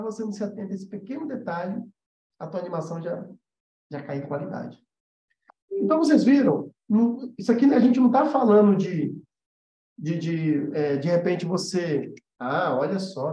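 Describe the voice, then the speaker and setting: Brazilian man, informal teacher, soft-edged mellow tone, emphatic repetition, frequent check-in tags, echoey room. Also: high-pitched, expressive, normal speed. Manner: conversational, emphatic, repeats key points, frequent check-in tags. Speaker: animation teacher hosting a live class. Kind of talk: informal live lesson, explaining and demonstrating. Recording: roomy echo, slightly dull sound.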